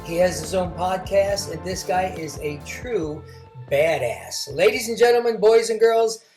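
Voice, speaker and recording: A man co-hosting a podcast introduces his guest with showy, hyped flourishes. His voice sits high, and clear music plays behind him. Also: measured pace, a little mellow. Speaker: podcast co-host. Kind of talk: announcer-style introduction and chat.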